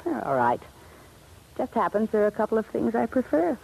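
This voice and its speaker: A woman's voice: on the high side, big pitch swings, brisk, creaky, a little mellow, slight background noise, rough recording. A woman playing a cool, hard, money-minded glamour girl in 1950s radio noir, offhand and unsentimental.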